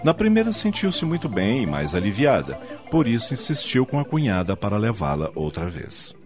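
A man's voice: fairly low pitch, huge pitch swings, normal speed. A man narrating a radio soap opera in a dramatic scripted style. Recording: dull lo-fi audio with music.

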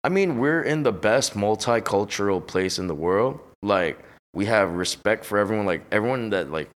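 A man's voice: lowish voice, animated, moderate pace. Slangy, rambling young man. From Los Angeles. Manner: slangy, profane, rambling with many fillers. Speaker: podcast guest. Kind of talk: casual interview chat. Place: hot room on a high floor.

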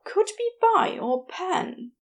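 A woman's voice: very high voice, very animated, slow, voice pushed up, heavily acted. A woman voicing a brash, irritable male shopkeeper with a blunt, exasperated manner in a narrated story. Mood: defensive, blunt.

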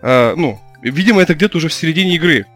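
A man co-hosting a casual podcast, telling stories in a fast, animated way. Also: a little high, faint music behind. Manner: fast, animated, rising into excited retelling. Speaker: podcast co-host. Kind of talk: casual storytelling chat.

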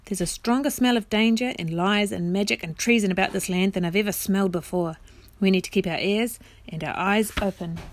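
A woman's voice: mid-range voice, fairly quick, no room sound, close to an everyday voice. A gloomy old-storybook marsh creature, voiced by a woman reader. He speaks in glum, plain warnings, always wary and expecting the worst. The sound is close and dry. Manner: glum, cautionary, plain-spoken. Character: gloomy, wary male marsh creature, cautious pessimistic guide.

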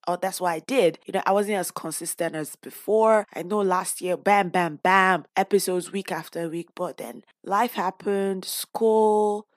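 A woman hosting her own podcast with a hoarse, worn voice, talking casually and openly with playful asides. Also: mid-range voice, a little slow, hardly any pauses, dry recording, studio quality. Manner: chatty and open, with playful asides. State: lost voice, hoarse. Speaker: podcast host; teacher. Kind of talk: casual personal podcast talk.

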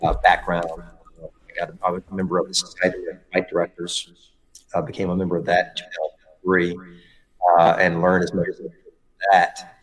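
A man with a deep, low-pitched voice chats as a podcast guest. He speaks casually and rambles, tripping over his words and starting sentences again and again with plenty of fillers. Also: completely flat delivery, fairly quick, pauses often, rough recording. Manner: rambling with many restarts and fillers. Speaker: guest, stage combat performer.

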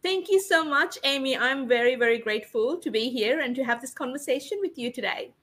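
A woman's voice: sits high, expressive, brisk, fairly bright tone. A woman in her thirties from Sri Lanka, a podcast guest telling her life story in an earnest, reflective way. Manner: earnest, reflective, speaks in long flowing sentences. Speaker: podcast guest, publisher and speaker. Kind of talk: interview storytelling.

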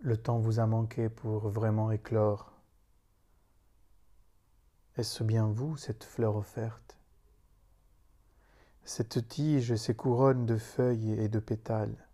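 A man reciting a solemn poem in a grave, meditative manner, leaving long pauses between short phrases. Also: fairly low pitch, little pitch movement, warm tone. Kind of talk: solemn spoken poetry recitation.

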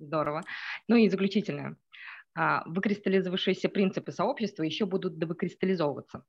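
A woman's voice: lowish voice, quick pace, breathy edge. A woman co-host on a radio show who encourages and praises her guest. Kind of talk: hosting a casual chat. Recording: slightly dull sound.

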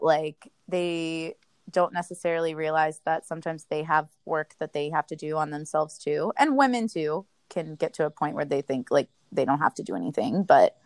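Female podcast host, low gravelly voice, laid-back talk with many fillers, dry close sound. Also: no room sound. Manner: laughs often, chatty with many fillers. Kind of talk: casual podcast chat.

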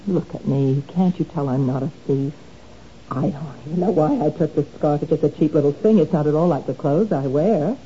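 An actress playing a flustered young wife caught shoplifting, stammering and pleading in a 1970s radio drama. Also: female voice, low voice, a little fast, warm tone, natural voice. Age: young adult. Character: anxious young wife who can't stop stealing. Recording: dull lo-fi 1970s radio broadcast, faint hiss.

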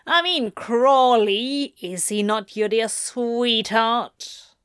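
A woman's voice: on the high side, normal speed, no echo, clean studio sound, close to an everyday voice. A woman voicing a leering male demon duke in a story, with a slimy, taunting manner. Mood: menacing, taunting.

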